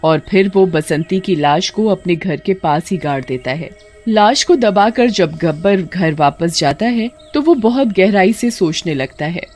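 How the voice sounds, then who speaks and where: A woman's voice: fairly low pitch, lively intonation, measured pace, a little mellow, faint music behind. A woman narrating a scripted story as a storyteller.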